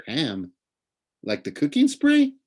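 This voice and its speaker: A man's voice: fairly high pitch, huge pitch swings, takes it slowly, voice pushed up, exaggerated voice. A man voicing a young boy hero in a storybook, casual, curious and skeptical, full of questions. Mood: casual, teasing.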